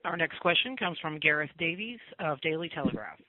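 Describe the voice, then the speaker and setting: A man with a fairly high voice comes through a narrow telephone connection. He moderates a press call, making formal announcements of each questioner. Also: big pitch swings, fairly quick. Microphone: phone. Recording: narrow phone line.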